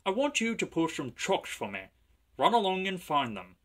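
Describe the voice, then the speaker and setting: Man playing a stern but kindly railway boss, forceful. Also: sits high, big pitch swings, pitched above the performer's own voice. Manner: authoritative, measured scolding and instructing.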